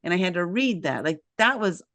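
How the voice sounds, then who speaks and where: Woman host, lower voice, dry close mic, chatty and animated. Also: a little fast, no echo. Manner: animated storyteller, acts out quotes. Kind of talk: casual interview chat.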